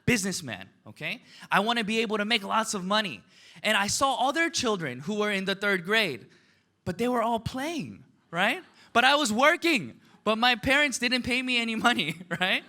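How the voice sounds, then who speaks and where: A man's voice: high voice, big pitch swings, normal speed, bright, forceful tone. A man, giving a motivational seminar talk, asking the audience questions and tagging his points with "right?" and "okay?". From United States. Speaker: motivational speaker.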